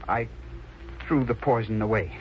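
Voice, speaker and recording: A man's voice: fairly low pitch, normal speed, lightly acted. An actor playing a lovesick, hesitant young man in an old radio drama. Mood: ardent, pleading. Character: lovesick, hesitant young male lover, boastful yet weak. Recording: dull lo-fi old radio, faint noise.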